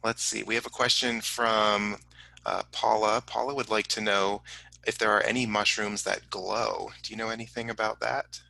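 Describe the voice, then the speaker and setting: A man hosting a casual live question-and-answer program, speaking haltingly as he relays audience questions. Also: fairly low pitch, level tone, creaky, sharp tone, dry recording, poor audio. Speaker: host of a science museum online program.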